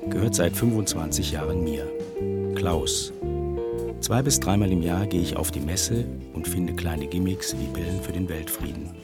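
Low-voiced man narrating over loud guitar. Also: moderate pace. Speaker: shop owner introducing himself. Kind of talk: scripted first-person narration. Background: acoustic guitar music.

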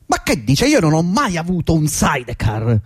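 A man's voice: fairly high pitch, huge pitch swings, moderate pace, husky, dry recording, clean studio sound, exaggerated voice. An actor playing an older male employee in a radio drama, fussy and querulous, stammering repeated protests. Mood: defensive, alarmed. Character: old loyal male employee, flustered, indignant, querulous. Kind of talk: theatrical radio drama acting.

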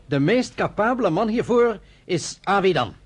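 An actor playing a young, energetic, pragmatic leader, reasoning persuasively in a Dutch radio play. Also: male voice, high voice, moderate pace, slightly rough. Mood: reasoned, persuasive.

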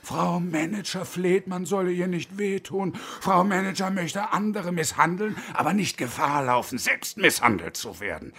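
A man's voice: high voice, lively intonation, normal speed, hardly any pauses, sharp tone, husky, full character voice. An actor in his fifties playing a bitter, sarcastic unemployed engineer turned hostage-taker in a radio drama, domineering and theatrical. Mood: scornful. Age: 50s.

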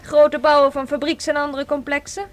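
High-voiced, cheeky young woman played by an actress. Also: little pitch movement, fairly quick, natural voice. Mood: teasing. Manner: teasing, breezy. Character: playful, chatty young woman, cheeky and independent. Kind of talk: radio drama acting.

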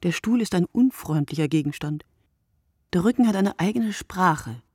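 A woman performing a reflective, sleepless narrator in a literary radio monologue, speaking in measured short phrases. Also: on the low side, lively intonation, a little mellow, breathy edge, dry recording, clean studio sound. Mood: weary, reflective.

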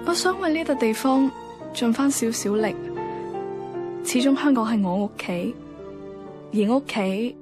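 Higher-pitched earnest young Hong Kong woman, played by an actress. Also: close to an everyday voice. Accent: Hong Kong Cantonese. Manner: reflective, sincere. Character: earnest young Hong Kong woman reading her heartfelt farewell letter. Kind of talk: radio drama letter narration. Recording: music behind the voice.

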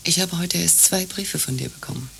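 A woman's voice: fairly low pitch, a little fast, gravelly, a bit bright, soft background music. An actress playing a needy, doubting woman in love in a radio play. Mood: plaintive. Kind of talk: German radio play dialogue.

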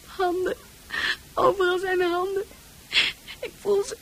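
A woman's voice: extremely high pitch, flat delivery, measured pace. A woman playing a frightened young female patient in a radio play, pleading tearfully in childlike panic. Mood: panicked, tearful.

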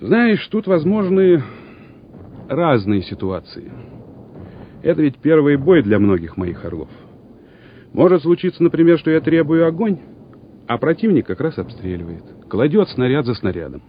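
A man's voice: on the high side, very animated, normal speed, pauses often. An actor playing a resolute, friendly young senior lieutenant commanding his company in a Soviet radio drama. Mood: earnest, firm. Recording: muffled old radio drama recording, noisy.

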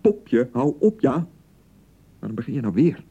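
An actor with a Dutch accent playing a young newlywed husband in a radio drama, placating and easily exasperated, with a hesitant way of talking. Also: male voice, mid-range voice, sing-song, measured pace, mellow, slightly rough, heavily acted. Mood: exasperated, defensive. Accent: Dutch (Netherlands). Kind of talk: naturalistic radio drama acting.

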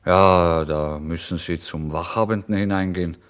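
An actor voicing a brisk, officious male police officer on night duty, clipped and emphatic, in a radio story. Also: sits low, fairly even intonation, clean tone, close to an everyday voice. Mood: brusque, official.

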